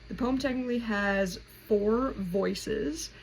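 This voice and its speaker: A woman's voice: fairly high pitch, slow. A woman hosting a casual explanatory lesson, talking informally and conversationally as she thinks aloud. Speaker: host of a poetry explainer. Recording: clearly noisy home recording.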